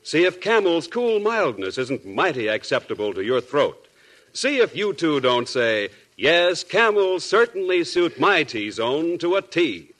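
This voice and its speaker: A man's voice: on the high side, big pitch swings, unhurried, fairly bright tone. A man announcing a 1940s radio advertisement in a persuasive, emphatic manner. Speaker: commercial announcer.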